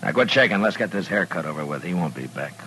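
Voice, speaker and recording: A man acting a steady, dry frontier lawman with an American accent in an old radio western, speaking tersely on a noisy vintage recording. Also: low-pitched, fast talker. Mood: stern, calm. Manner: terse, deadpan, laconic.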